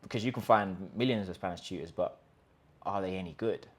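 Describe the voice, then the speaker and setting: A man hosting a language-learning channel, speaking straight to his viewers in an emphatic pitch full of rhetorical questions and quick restarts. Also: husky. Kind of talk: direct-to-camera pitch talk. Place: in Colombia.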